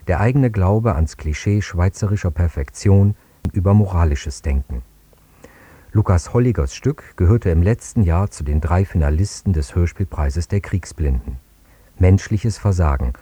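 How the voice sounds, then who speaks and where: A male radio presenter with a very deep, very dark voice reads a scripted introduction. His delivery is sober and measured, recorded close and dry. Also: expressive, breathy edge, dry recording.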